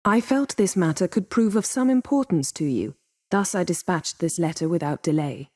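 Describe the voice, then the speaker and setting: A woman voicing a haughty, sharp-tongued sorceress in a video game, guarded yet devoted, speaking in an imperious, formal and wry manner. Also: on the low side, lively intonation, normal speed, no echo. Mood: composed, earnest. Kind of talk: acted video game dialogue.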